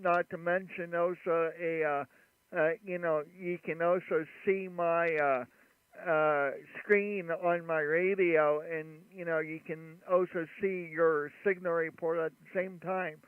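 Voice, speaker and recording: Man, ham radio host, high smooth voice, slow rambling chat, dry narrow radio sound. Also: no room sound. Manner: relaxed, rambling, many fillers. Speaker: ham radio operator hosting a livestream. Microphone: studio mic at his station. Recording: radio audio, narrow band.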